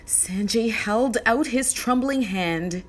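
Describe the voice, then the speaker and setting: Slow, high-voiced woman storyteller. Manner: expressive, deliberate. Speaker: storybook narrator. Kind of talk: scripted children's story read-aloud.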